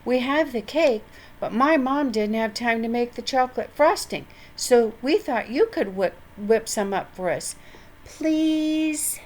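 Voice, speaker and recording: A woman voicing a cheerful, eager young mischievous boy in a children's storybook, with an excited way of talking. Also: fairly high pitch, unhurried, voice pushed up.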